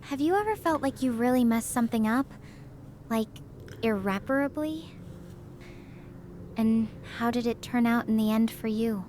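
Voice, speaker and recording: A woman playing a stranded, guilt-ridden young astrophysics researcher in a sci-fi drama, speaking softly and hesitantly in a confiding way. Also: on the high side, expressive, normal speed, pauses often, a little hiss or hum, close to an everyday voice. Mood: reflective, gentle. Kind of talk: conversational sci-fi roleplay.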